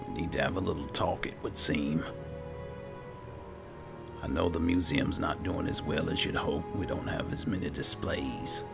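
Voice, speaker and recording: A man's voice: a bass voice, steady tone, normal speed, gravelly. A man roleplaying a character in a game playthrough, narrating casually and without hurry. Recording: background music under the voice. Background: game music.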